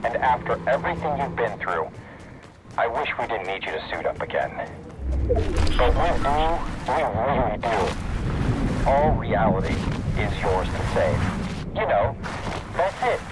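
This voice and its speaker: An actor playing an earnest, grave but hopeful scientist who leads a resistance in a video game, speaking in a solemn, measured, heartfelt way. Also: male voice, mid-range voice, big pitch swings, heavy background noise. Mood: heartfelt, pleading. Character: earnest wartime scientist leader rallying allies, grave and hopeful. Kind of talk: scripted game story monologue.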